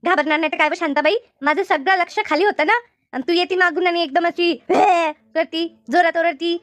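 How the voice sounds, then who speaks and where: A woman voicing a jumpy, eager farm labourer in a village cartoon, excitable and repetitive, with a rural Maharashtrian Marathi accent. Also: very high voice, a little fast, no echo. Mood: startled, complaining. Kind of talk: cartoon comedy dialogue.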